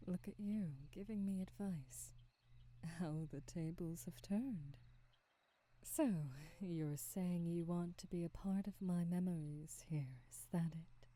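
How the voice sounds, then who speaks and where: A woman playing a kindly, wistful, motherly witch in an ASMR roleplay, soft, breathy and often whispering, with tender, formal phrasing. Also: on the low side. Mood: warm, touched. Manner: slow, tender, old-fashioned formal phrasing.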